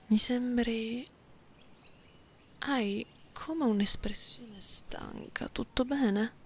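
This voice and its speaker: Woman as a slow, soft, creaky-voiced cat-girl. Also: on the high side. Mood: tender, soothing. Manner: soft, teasing, soothing, slipping into whispers. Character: affectionate, playful young cat-girl comforting a friend. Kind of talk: soft-spoken ASMR roleplay. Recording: close binaural recording, clearly noisy background.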